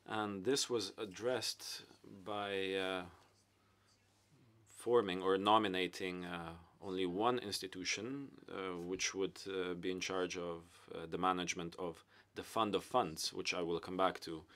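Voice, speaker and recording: A man giving simultaneous English interpretation at a conference panel, with frequent hesitant pauses and fillers. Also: sits low, steady tone, fairly bright tone.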